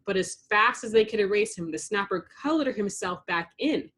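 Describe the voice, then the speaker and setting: Animated woman reading a children's story. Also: mid-range voice, moderate pace. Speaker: storybook reader. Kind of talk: expressive children's story narration.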